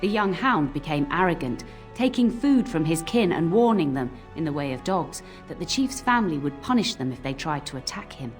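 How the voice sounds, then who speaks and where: An actress playing an old nanny in a game, scolding but fond, given to telling cautionary tales. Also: female voice, low-pitched, expressive, normal speed, few pauses. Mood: solemn storytelling. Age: older. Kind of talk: scripted game voice acting, storytelling. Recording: music under the voice.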